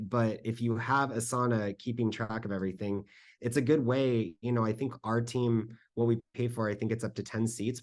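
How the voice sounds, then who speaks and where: A man's voice: mid-range voice, a little mellow. A man speaking as a panelist in a conference Q&A, explaining things conversationally and often checking for agreement. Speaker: panelist, school district communications staffer. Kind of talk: conference presentation Q&A, informal advice.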